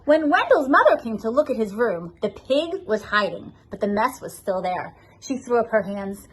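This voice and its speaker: High, animated woman reading a storybook. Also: moderate pace, breathy edge, poor audio. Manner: expressive, addressing children directly. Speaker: school assistant principal reading to pupils. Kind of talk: children's storybook read-aloud.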